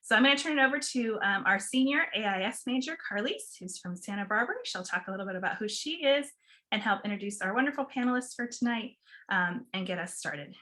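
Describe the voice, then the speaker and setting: A woman's voice: mid-range voice, fast talker. A woman directing professional development, opening a video-call student panel with welcome remarks and frequent ums. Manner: many ums, steady and organized. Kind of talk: welcoming event introduction.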